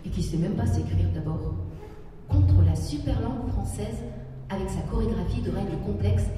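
A woman's voice: medium pitch, moderate pace, mellow. A woman from northern France, whose first language is Berber, giving a reflective, poetic spoken-word performance. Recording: roomy hall recording from far off with music.

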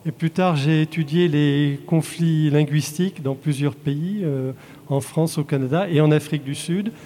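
A man from Belgium, a translator, answering audience questions in a halting way with frequent restarts. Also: on the high side, dark tone, a little hiss or hum. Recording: long hall reverb.

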